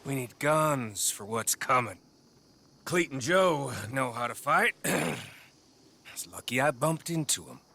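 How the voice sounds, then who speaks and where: An actor playing a hard, menacing outlaw, curt and pushy, in a video game. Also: male voice, medium pitch, expressive, slow pace, bright, forceful tone, full character voice. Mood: surly, defensive. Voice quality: gravelly. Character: hard, menacing male outlaw gang member. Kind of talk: dramatic video game acting.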